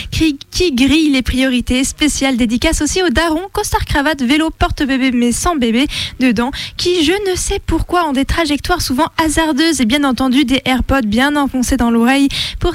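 A woman's voice: high voice, no echo. A woman with a French accent from France presenting a humorous scripted radio column, telling it in a wry, mock-indignant way. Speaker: radio show host. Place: radio studio.

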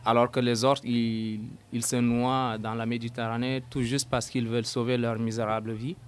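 A young man from Sudan in his twenties giving an earnest personal testimony as an interview guest, halting and searching for words, turning forceful when indignant. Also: mid-range voice, level tone, clean tone. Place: at a housewarming gathering.

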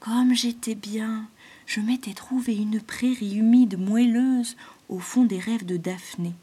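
A woman voicing a gentle, weary male python who speaks in a soft, breathy whisper. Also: fairly high pitch, steady tone. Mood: plaintive. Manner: slow, hushed and plaintive.

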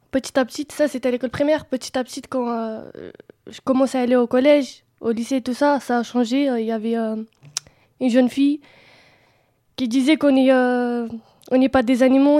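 High, mellow young woman. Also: no echo, clean studio sound. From Romania. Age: young adult. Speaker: youth contributor giving testimony. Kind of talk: casual personal storytelling.

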